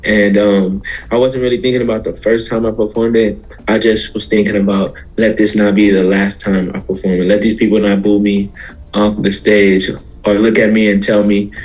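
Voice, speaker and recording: An American man from Houston, Texas, giving casual, reflective interview answers as a musician guest, explaining himself in a halting way. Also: fairly low pitch, level tone, darkish tone, soft background music, low-quality recording. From Houston, Texas, USA.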